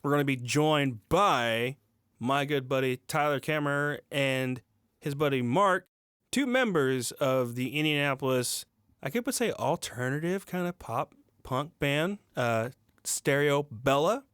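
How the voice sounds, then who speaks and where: A man co-hosting a casual music podcast, easygoing and quick to laugh, on a close microphone. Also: mid-range voice, animated, a bit bright, clear, resonant tone, no echo. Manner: easygoing, laughs often, signs off in a host tone. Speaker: podcast co-host.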